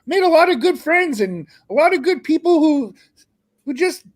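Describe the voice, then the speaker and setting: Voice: high-pitched, very animated, normal speed. A performer voicing a sentimental, hard-living, boastful frog puppet in rambling, improvised livestream chat. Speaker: co-host of an online puppet comedy show.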